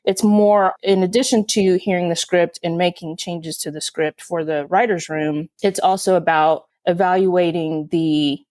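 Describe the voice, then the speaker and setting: Lower-voiced woman explaining, closely dry recording. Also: steady tone, unhurried, hardly any pauses, a little mellow, no room sound. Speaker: film and television producer, interview guest. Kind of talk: explanatory interview talk.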